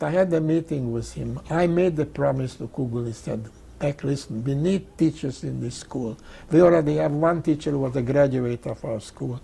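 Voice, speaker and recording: An elderly man, a native Hungarian speaker with a Hungarian accent, telling his life story in an emphatic, halting way, often repeating phrases. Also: on the high side, gravelly, darkish tone, breathy edge. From Czechoslovakia, Hungarian-speaking. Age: 80s or older. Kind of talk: oral history interview, personal storytelling.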